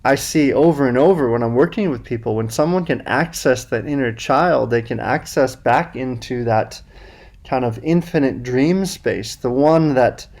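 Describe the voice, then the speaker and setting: A man's voice: medium pitch, normal speed, darkish tone. A man speaking as a podcast guest and men's coach, musing thoughtfully in casual conversation with spiritual wording.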